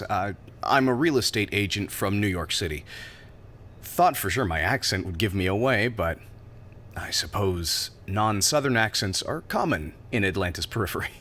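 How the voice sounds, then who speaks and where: A man's voice: on the low side, fairly bright tone. A man playing a polite, wordy drifter who poses as a polished businessman in an audio drama. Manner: formal, wordy, polite, hesitant openings. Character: sharply dressed drifter posing as polished New York businessman.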